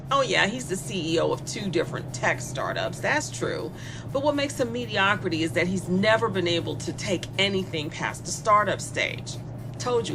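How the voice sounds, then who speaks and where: A woman's voice: medium pitch, background noise, natural voice. A woman playing a spoiled, bored young female killer in a horror audio drama, confessing with sardonic, casually menacing glee. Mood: mocking.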